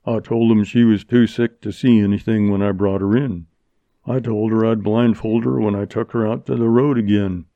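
Man as a cool, deliberate western bandit. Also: fairly even intonation, no room sound, phone-quality sound, natural voice. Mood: matter-of-fact. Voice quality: booming bass. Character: calm, menacing male bandit, lean and unemotional.

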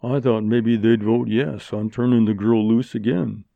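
A man playing a calm, menacing bandit in a storybook, with plain frontier talk and little emotion. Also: a little slow, creaky, no echo, narrow, tinny audio. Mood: matter-of-fact. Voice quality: booming bass. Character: calm, menacing male bandit, lean and unemotional.

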